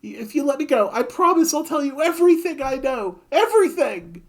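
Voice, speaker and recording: A game player voicing a sly little mouse that begs in an overly polite, whiny way, pitched high above their own voice. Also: very animated, unhurried, husky. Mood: panicked. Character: sly pleading mouse, secretly a griffin.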